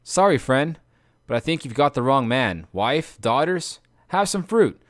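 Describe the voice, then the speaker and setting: A man's voice: big pitch swings, measured pace, fairly bright tone, dry recording, clean studio sound. A man voicing a dazed, fruit-drunk man who slurs his words and presses food on others. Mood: wheedling. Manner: slurred, pushy, high.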